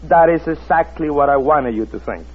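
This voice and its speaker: A man's voice: a little high, moderate pace, slight background noise, natural voice. A man acting a sly, menacing foreign schemer in an old radio thriller, with a sardonic, mock-polite manner. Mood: smug, menacing. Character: sly, teasing foreign man held at gunpoint, menacing when armed. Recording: muffled vintage radio recording with music.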